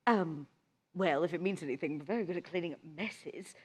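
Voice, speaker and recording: A woman's voice: on the low side, fairly quick, lightly acted. A woman playing a poised, teasing hundred-year-old vampire negotiator in a live tabletop roleplaying game. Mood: playful, assured. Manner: teasing, self-assured, diplomatic. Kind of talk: improvised tabletop roleplay before a live audience.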